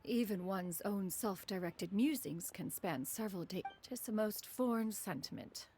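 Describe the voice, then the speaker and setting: A woman's voice: mid-range voice, lively intonation, moderate pace, darkish tone, a little hiss or hum, close to an everyday voice. A woman voicing a haughty, verbose immortal for a video game, speaking in grand archaic phrases. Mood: musing. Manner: archaic, grandiose, refers to self as one.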